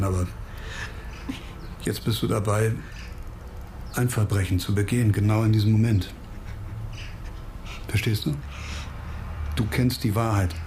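A man playing a stubborn, wry police investigator in a radio crime drama, speaking in a deliberate, insistent and sardonic manner. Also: lowish voice, background noise, natural voice. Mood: insistent, grave.

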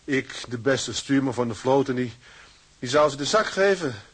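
A man's voice: mid-range voice, animated, moderate pace, slightly rough. A man playing a proud, blustering young ship's mate and affectionate husband in a radio drama, talking colloquially. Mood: indignant disbelief. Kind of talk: Dutch radio drama acting.

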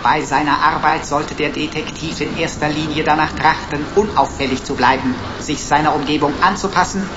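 An actor playing a cheeky, pedantic talking computer sidekick in a radio drama, florid, pompous and mock-servile. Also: male voice, a little high, fairly even intonation, moderate pace, few pauses, lightly acted. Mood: pompous lecturing. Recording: dull, noisy radio drama recording.

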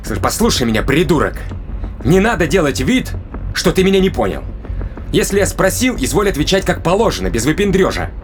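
A man's voice: a little high, big pitch swings, fairly quick, a little hiss or hum. An actor playing a blunt, impatient male interrogator in an audio drama, probing and demanding in colloquial speech. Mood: angry, demanding.

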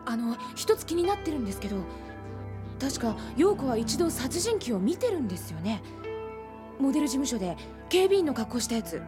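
An eager young woman, with a very high, lively voice and an inquisitive way of speaking. Faint music plays behind her in this radio drama. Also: brisk.

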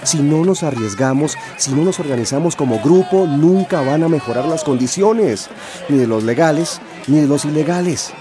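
A man's voice: a little high, lively intonation, normal speed, music in the background, natural voice. An actor playing an earnest, flirtatious immigrant man who believes in workers' rights, in a radio drama. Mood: earnest, fervent.

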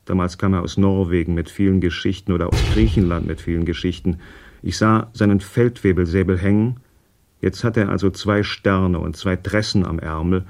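Male reader for autobiographical prose, deep pitch, measured storytelling. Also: steady tone, a little mellow. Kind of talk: literary first-person memoir reading.

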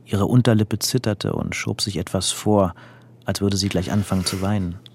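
An actor playing a calm, intimate storyteller, reading literary narration in a radio drama. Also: male voice, low voice, moderate pace, creaky, a little hiss or hum.

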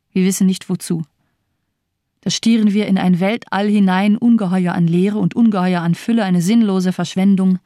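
A woman's voice: on the low side, warm tone, no room sound, studio quality, natural voice. An actress playing a cold, bitterly nihilistic female doctor in a German radio crime drama, speaking in bleak, disdainful declamation. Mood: bleak, impassioned.

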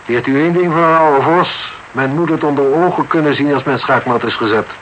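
A man's voice: fairly high pitch, a little fast, a bit bright, highs cut off, natural voice. An actor in a radio drama playing a cynical, blunt older businessman with a formal, haughty manner. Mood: defiant, cynical. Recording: noisy radio drama recording.

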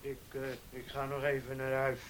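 An actor playing a humble, easily cowed older horse handler in a Dutch radio play, hesitant and deferential. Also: male voice, mid-range voice, completely flat delivery, moderate pace, close to an everyday voice. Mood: apologetic.

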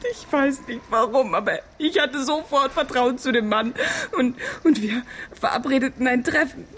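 A woman's voice: high-pitched, moderate pace, a bit bright, a little hiss or hum. An actress playing an anxious, trusting young woman in love in a radio drama, hesitant, earnest and tearful.

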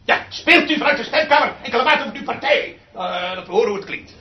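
An actor playing an imperious, exasperated, eccentric orchestra conductor in a radio play, with a pompous, theatrical manner that swings from muttering to shouting. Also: male voice, very high voice, lively intonation, fast talker. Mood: imperious, impatient. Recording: dull recording with noticeable hiss.

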